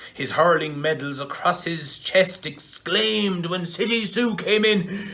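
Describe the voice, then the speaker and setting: An Irish man recites a comic ballad with mock-dramatic relish. He takes it very slowly, in a mid-range voice that rises and falls widely, with big swings in volume. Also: medium pitch, fairly bright tone. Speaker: performer reading a piece.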